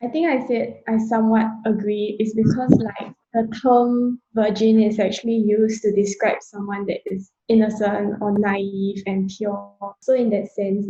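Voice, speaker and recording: A Singaporean woman in her twenties with a mellow, soft-edged tone, laying out her points in a measured, slightly formal way. The sound is roomy and a bit dull. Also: medium pitch. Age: 20s. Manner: measured, reasoned, a little formal. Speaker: panel guest. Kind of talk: casual panel discussion.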